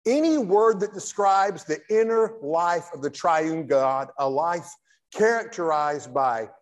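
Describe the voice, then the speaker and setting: A man in his sixties with a notably high voice speaks from a lectern in a large, reverberant church hall. He lectures like a pastor, phrasing deliberately and leaning on key words with pauses. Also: expressive. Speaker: Baptist pastor, guest lecturer. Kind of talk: church lecture with preacherly delivery.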